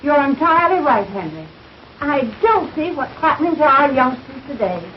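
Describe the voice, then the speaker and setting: An actress speaking in a 1930s film, in old-fashioned screen acting. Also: female voice, sits high, big pitch swings, normal speed. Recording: dull, hissy 1930s film soundtrack.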